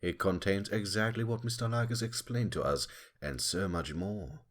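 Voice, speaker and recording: A man's voice: deep voice, normal speed. A man playing a smug, relentless animal prosecutor in a courtroom audio drama, taunting and theatrical in his questioning. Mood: smug, confident. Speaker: voice actor in fan audio drama. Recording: loud music under the voice.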